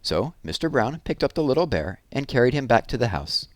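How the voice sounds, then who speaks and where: A man reading a children's picture book aloud in a gentle, expressive storytelling manner. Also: mid-range voice, fairly quick, no room sound, studio quality.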